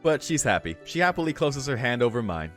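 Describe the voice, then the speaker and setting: A man's voice: medium pitch, sing-song, a little fast, a bit bright. A man reading a visual novel aloud as a streamer, with amused, slangy and sweary casual asides. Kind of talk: casual read-aloud with commentary. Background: faint game music.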